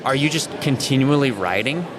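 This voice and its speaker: American guy podcast host, rambly casual chat, medium pitch, noisy convention behind him. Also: lively intonation, fairly quick. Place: busy convention floor being torn down. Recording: loud event hall noise behind. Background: clatter and ambient noise of convention.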